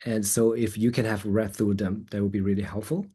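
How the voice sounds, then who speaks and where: Male therapist presenting a webinar: husky, lowish voice, hesitant delivery. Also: level tone, a little fast, mellow. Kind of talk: presentation and question answering.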